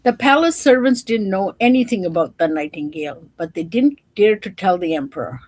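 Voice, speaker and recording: A woman's voice: medium pitch, expressive, fairly quick, a little mellow. A woman storyteller reading a children's storybook aloud and explaining it simply to young listeners.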